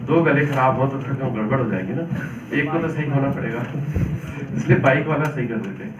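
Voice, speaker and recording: A man with a hoarse throat teaching a seminar to exam aspirants, explaining point by point and putting questions to the room. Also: medium pitch, a little fast, very noisy. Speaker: civil services exam coaching teacher. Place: seminar hall with audience. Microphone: faulty microphone. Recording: echoey dull hall sound from a distance.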